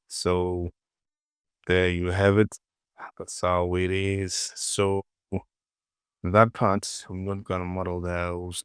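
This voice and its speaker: Man, very low voice, slow pace, casual tutorial narration, repetitive short phrases. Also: smooth, no room sound, studio quality. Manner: informal, repeats words and short phrases. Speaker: 3D modelling tutor. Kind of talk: casual screen-recorded software tutorial.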